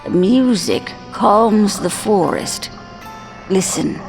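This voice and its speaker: A woman playing a gentle, coaxing, dreamlike older grandmother in an audio drama. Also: mid-range voice, slow, soft background music, rough recording. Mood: gentle, dreamy. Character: gentle dreamlike grandmother teaching a child music.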